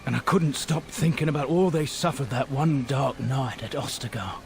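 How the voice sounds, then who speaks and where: Male actor: doomed loyal soldier, weary, solemn confession, medium pitch, game drama, faint ambience behind. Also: moderate pace, vocal fry, slight background noise, natural voice. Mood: regretful, resigned. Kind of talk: dramatic video game acting.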